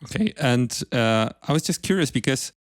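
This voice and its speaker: A man's voice: mid-range voice, expressive, normal speed. A man hosting an interview podcast, speaking as presenter and interviewer. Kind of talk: podcast interview, with scripted sponsor read.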